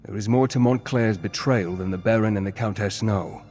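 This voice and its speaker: An actor playing a suave lesser noble in a fantasy video game, measured, articulate and wry as he shares gossip. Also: fairly low pitch, a little mellow, close to an everyday voice.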